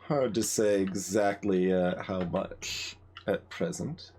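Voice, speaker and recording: A man's voice: low voice, takes it slowly, a little mellow, slight background noise. A man giving casual commentary on a game playthrough, musing and thinking aloud as he goes.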